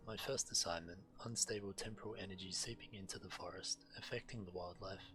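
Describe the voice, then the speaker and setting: A man's voice: low voice, fairly even intonation, brisk. A man streaming a video game, reading quest text aloud as narration. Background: game music.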